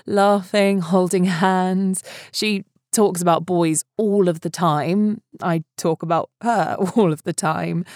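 A woman reads scripted narration with measured, clear phrasing. Her voice sits a little low and the sound is dry, with no room echo. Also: a little mellow. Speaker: podcast announcer and reader.

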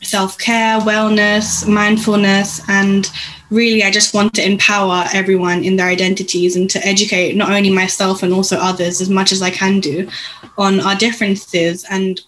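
A young woman in her twenties, an illustrator being interviewed, who answers thoughtfully and lists her ideas with small pauses. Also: medium pitch, level tone, fairly bright tone, slight background noise, rough recording. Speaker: illustrator, podcast guest.

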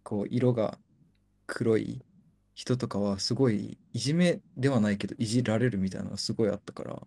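A man's voice: lowish voice, racing, creaky, slightly rough. A young man and co-host, a native Japanese speaker, talking thoughtfully in English and Japanese in a casual chat. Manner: soft, thoughtful, switches between English and Japanese.

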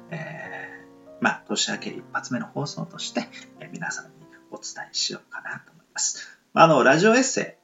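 A man hosting a casual solo podcast in a polite, humble, halting and apologetic way. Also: mid-range voice, gravelly, bright, forceful tone, muffled recording. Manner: halting, apologetic, self-deprecating. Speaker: podcast host introducing web novels. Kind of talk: casual solo radio talk.

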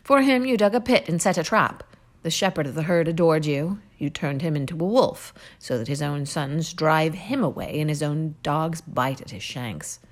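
A woman reading aloud, voicing a proud, defiant young male hero-king who declaims loudly. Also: low voice, lively intonation, normal speed. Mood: scornful.

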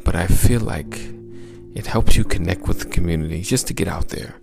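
A man hosting a motivational podcast as a mindset coach, with a smooth, gravelly low voice and upbeat, repetitive exhortations. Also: moderate pace, over music.

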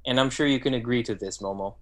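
A man in his thirties from the Philippines, chatting as a podcast guest in a relaxed, reminiscing way. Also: medium pitch, fast talker. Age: 30s. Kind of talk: casual chat.

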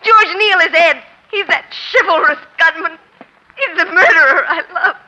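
A woman's voice: extremely high pitch, moderate pace, pushed and bright, exaggerated voice, low-quality recording. An actress playing a distraught young woman betrayed by her gangster lover in a 1940s radio crime drama, in an emotional outburst. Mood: anguished, furious.

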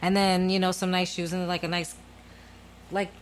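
A woman hosting a casual podcast, reacting excitedly to her guests and talking in easy slang. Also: on the low side, normal speed. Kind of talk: casual chat. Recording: podcast recording with crowd noise behind.

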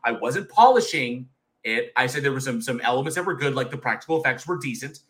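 A man's voice: medium pitch, lively intonation, fast talker, a bit bright. A man in his thirties co-hosting a casual live chat show, candid and swearing freely, often restarting his sentences. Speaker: co-host of horror review show.

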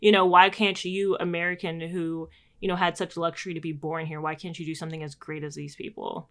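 A woman's voice: lowish voice, flat delivery, a little fast. A young woman hosting a casual talk, thoughtful and rambling, laughing easily. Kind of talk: casual podcast chat.

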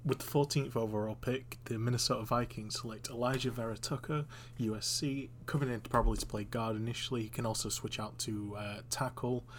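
A man's voice: medium pitch, steady tone, a little fast, a little hiss or hum. A man from a team podcast announcing a pick in a matter-of-fact way. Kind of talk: sports analysis.

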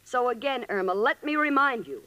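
Level-headed young woman, radio comedy peacemaker, high bright voice, managing and wry. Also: lively intonation, fairly quick. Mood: wry, practical. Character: sensible, exasperated young woman, the peacemaker roommate. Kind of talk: old-time radio comedy acting.

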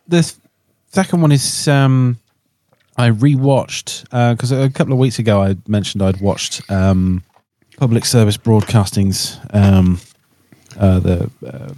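A man chatting casually on a podcast in a hesitant, halting way, often repeating himself. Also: deep voice, dark tone, dry recording. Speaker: podcast co-host.

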